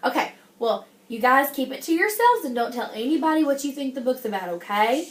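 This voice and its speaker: A woman's voice: high voice, animated, brisk. A woman teacher reading a picture book to young children, encouraging them and often stopping to ask questions. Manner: encouraging, addresses the class as guys, frequent check-in questions. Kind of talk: interactive classroom read-aloud with questions and praise. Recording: echoey room.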